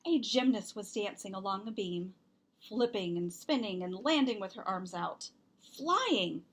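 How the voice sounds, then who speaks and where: A woman reading a children's picture book aloud, expressively and deliberately, with emphasized words. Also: medium pitch, lively intonation. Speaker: storybook reader and host.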